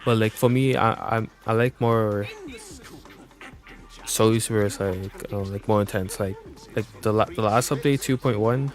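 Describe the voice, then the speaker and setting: A man's voice: on the low side, fairly even intonation, normal speed. A man streaming a video game with casual, rambling, informal commentary. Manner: informal, rambling, mild swearing. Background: game music.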